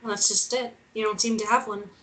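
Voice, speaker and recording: A woman's voice: mid-range voice, level tone, fairly quick, a bit bright, close to an everyday voice, low-quality recording. A woman playing a sceptical young woman who asks direct, probing questions in a script table read. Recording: noticeable background noise.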